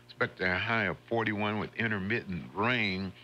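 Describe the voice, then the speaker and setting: Chatty radio host, bright voice. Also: male voice, on the low side, normal speed, lo-fi sound. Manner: folksy, chatty, laughs often. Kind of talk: casual radio chat. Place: radio studio. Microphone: studio microphone.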